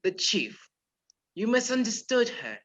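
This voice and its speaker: A performer playing a polite, measured male professor who is secretly a menacing spy chief in a radio play. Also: medium pitch, sing-song, fairly bright tone. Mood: quietly menacing. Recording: dull lo-fi virtual recording.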